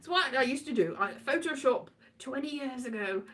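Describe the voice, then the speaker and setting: A woman's voice: high-pitched, expressive, moderate pace, a bit bright. A woman in a casual, rambling monologue, playful and scatty, wandering off on tangents and repeating her words. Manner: playful, acts out quotes in put-on voices.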